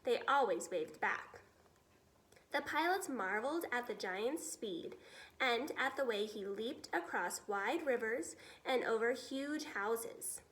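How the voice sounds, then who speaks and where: A woman reading a children's story aloud in an expressive teacher's storytelling style. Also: a little high, slow.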